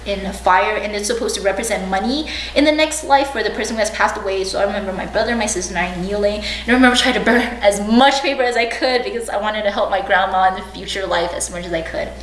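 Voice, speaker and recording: A woman's voice: medium pitch, quick pace, hardly any pauses, fairly bright tone. A young woman from China and the United States casually recounting personal memories in an informal, hedging way as an interviewee. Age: young adult. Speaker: oral history interviewee. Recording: roomy, distant mic, faint background noise.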